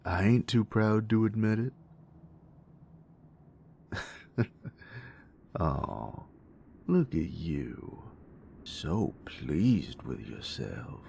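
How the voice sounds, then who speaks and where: A man playing a gruff young bully turned affectionate boyfriend in an intimate roleplay audio drama, slangy and teasing, halting when sincere. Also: low voice, very animated, a little mellow, slight background noise, exaggerated voice. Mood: teasing, fond. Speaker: voice actor.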